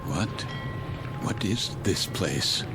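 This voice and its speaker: A man's voice: low-pitched, expressive, very slow, very noisy, heavily acted. A man acting in a radio drama as a stern, haunted German U-boat captain. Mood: dazed, confused. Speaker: actor.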